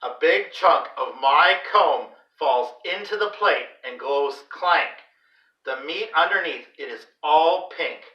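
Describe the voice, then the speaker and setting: Slow, echoey man reading a storybook. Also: on the high side, expressive, highs cut off. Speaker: read-aloud host for a school class. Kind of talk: expressive children's storybook read-aloud. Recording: roomy echo, distant microphone.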